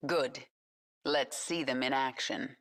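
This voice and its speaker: A woman's voice: very deep voice, fairly even intonation, slow pace, a bit bright, exaggerated voice. A woman playing a commanding, menacing alien swarm queen in a video game, with a terse, imperious delivery. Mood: stern, commanding. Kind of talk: video game character acting.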